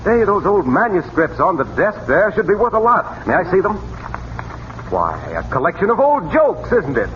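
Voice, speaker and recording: A man's voice: high voice, wildly swooping pitch, normal speed, fairly bright tone, full character voice. A man playing a polite, cheery mounted police sergeant in a 1930s radio comedy, with clipped, very proper speech. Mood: jovial, polite. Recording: dull, faintly noisy 1930s radio broadcast.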